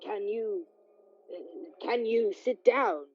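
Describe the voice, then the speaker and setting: A woman playing a grumpy, skeptical old male miser in a radio play, with a halting, curmudgeonly delivery. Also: medium pitch, highs cut off, a little hiss or hum, natural voice. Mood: skeptical, defiant. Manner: slow, halting, curmudgeonly, with hesitations.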